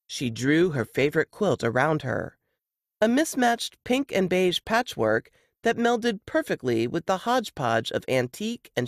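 Woman reading fiction with lively intonation. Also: low-pitched, no room sound, clean studio sound. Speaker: narrator reading a novel excerpt. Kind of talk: expressive audiobook-style narration.

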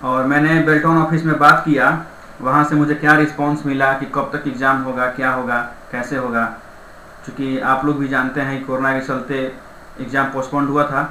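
A man's voice: mid-range voice, level tone, fairly quick, sharp tone. A young man giving an informal update to online viewers as the presenter. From India. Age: young adult. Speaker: YouTube exam-update presenter. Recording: roomy echo with faint background noise.

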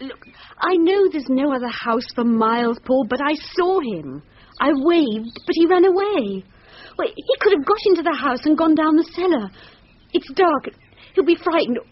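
Radio thriller wife: anxious, insistent, high and very changeable pitch. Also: female voice, animated, normal speed, no echo. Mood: anxious, pleading. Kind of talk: thriller radio drama acting. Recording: dull, noisy radio drama recording.

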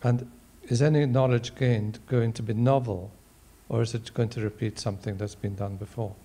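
A man in his sixties answering on a panel in measured, halting phrases, posing questions one after another. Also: mid-range voice, steady tone, dark tone. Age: 60s. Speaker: panel member, ocular oncologist. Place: large auditorium stage. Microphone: handheld microphone.

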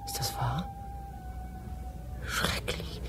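A young woman acting in a radio drama as an earnest, idealistic British lady, with a breathy delivery. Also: drawn-out words, background noise. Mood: anxious. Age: young adult. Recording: loud music behind the voice.

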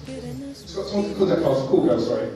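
A man's voice: high voice, very animated, fast, crowd noise. A young man giving a best man's speech, telling funny stories and laughing at his own lines. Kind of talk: humorous wedding speech. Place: large banquet hall. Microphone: handheld mic, filmed from afar. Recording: echoey hall from far off, music behind.

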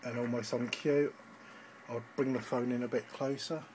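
A man's voice: medium pitch, fairly even intonation, moderate pace, darkish tone, a little hiss or hum, rough recording. A man giving an informal, slightly hesitant live demonstration as an amateur radio operator, recorded on a handheld phone indoors. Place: indoors at a radio station desk.

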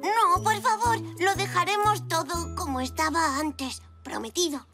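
Cartoon zebra kid, helpful and eager, very high voice, unhurried delivery. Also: over music. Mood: pleading, eager. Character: young child zebra, eager, earnest, helpful. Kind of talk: children's cartoon dubbing.

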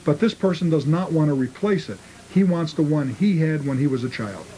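Mellow, higher-pitched man, slight noise. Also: a little fast, rough recording. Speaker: model train restorer being interviewed. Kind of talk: informal interview answers.

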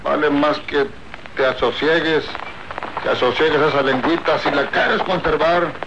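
A man playing a brutish, lecherous rural bandit with a gravelly voice and a Mexican accent in a radio soap opera. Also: on the high side, fairly even intonation, normal speed, lightly acted. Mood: menacing. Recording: dull, noisy old radio serial recording.

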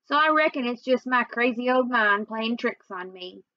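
A woman's voice: a little high, expressive, moderate pace, no echo, lo-fi sound, close to an everyday voice. Woman reading as a folksy, worried Southern woman. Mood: hopeful, wistful. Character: worried, sad Southern woman missing her lost dog.

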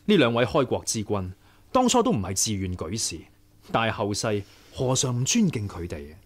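An actor playing a courteous, persuasive young rebel leader in a Hong Kong Cantonese radio drama. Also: male voice, fairly low pitch, big pitch swings, normal speed. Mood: persuasive, earnest.

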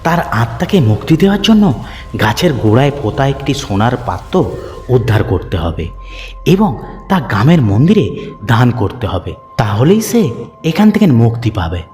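A male storyteller narrates a cartoon horror tale with hugely swooping intonation. His mid-pitched voice rings with long reverb over music. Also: very animated, fairly quick, darkish tone.

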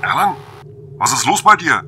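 A man's voice: mid-range voice, very animated, moderate pace, sharp tone, slight background noise. A man playing a concerned fellow station operator in an amateur sci-fi audio drama. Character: Hannes, concerned male colleague at Triton station.

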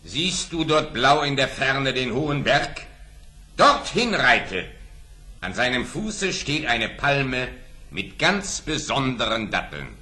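A rich, greedy sultan in a children's radio play. His voice is very sharp and forceful at a medium pitch. He commands grandly, with theatrical, lively swings of intonation. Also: slow pace, full character voice. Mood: stately, curious.

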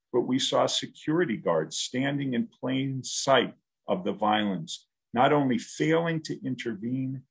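A man in his sixties giving a scripted commencement speech as a university dean, in a measured, formal way over a video call. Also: medium pitch, gravelly, husky, poor audio. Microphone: video call, computer microphone.

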